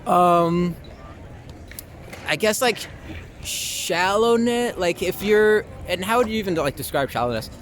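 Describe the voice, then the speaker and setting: A young American man talking casually and candidly as an interview guest, halting and informal, leaning on "like" and the odd swear word. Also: sits high, expressive, a bit bright, slight background noise. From Long Island, New York, US. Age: young adult.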